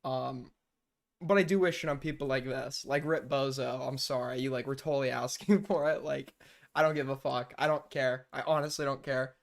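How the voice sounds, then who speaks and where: A man's voice: mid-range voice, fairly even intonation, normal speed, gravelly. A young man giving casual live-stream commentary, rambling and blunt, with lots of fillers and swearing. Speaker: streamer reacting to news clips.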